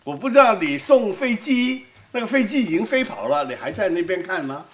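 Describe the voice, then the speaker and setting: A woman's voice: medium pitch, very animated, fairly quick. A woman from Hong Kong with a Cantonese accent, preaching in a conversational way and putting questions to her audience. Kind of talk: sermon with live interpretation.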